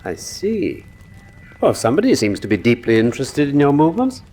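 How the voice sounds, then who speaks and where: Incisive English gentleman detective, lively intonation. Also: mid-range voice, fairly quick, slight background noise. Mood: probing. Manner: probing, crisp questioning, formal. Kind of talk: radio drama acting.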